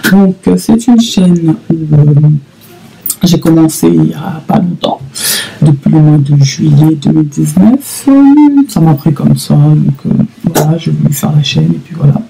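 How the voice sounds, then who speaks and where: A woman in her thirties talking casually to camera in a vlog, halting with many fillers and repeats. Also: low-pitched, steady tone, creaky, warm tone, breathy edge, soft background music, poor audio. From Congo (DRC). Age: 30s. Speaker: vlogger.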